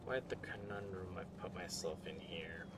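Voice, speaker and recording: A man with a lowish voice streams a factory-building game, half-muttering as he puzzles over his layout. He thinks aloud in a loose, casual way and now and then talks to his chat. A steady noise sits clearly under the recording. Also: normal speed. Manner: mumbling to himself, musing over puzzles, greets chat. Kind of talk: casual live-stream commentary while playing.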